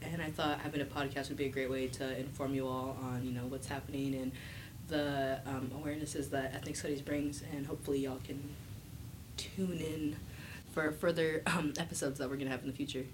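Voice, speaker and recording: A young woman on a casual podcast, talking haltingly with lots of fillers. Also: very deep voice, flat delivery, background noise. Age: young adult. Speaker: podcast co-host. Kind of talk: casual chat.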